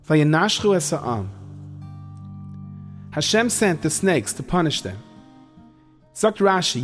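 A stern but caring camp counselor who keeps order and scolds with authority. His voice is fairly high and very lively in pitch. Light music runs behind him in a children's audio drama.

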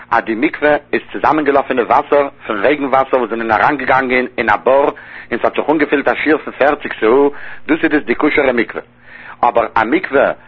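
Husky man giving a sing-song lecture. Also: medium pitch. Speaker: Talmud teacher. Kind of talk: Talmud study lecture. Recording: lo-fi dull recording.

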